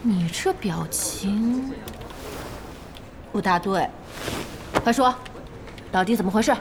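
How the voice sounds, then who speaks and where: A woman's voice: mid-range voice, lively intonation, slow, noisy background. A woman playing a worried, sceptical guild officer in an audio drama, questioning and doubtful.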